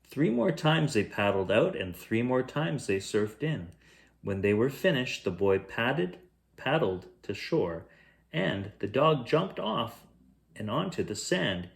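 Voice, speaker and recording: Male storyteller for a children's picture book; medium pitch, animated delivery, measured phrasing with expressive emphasis. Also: gravelly. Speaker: bedtime story reader, host.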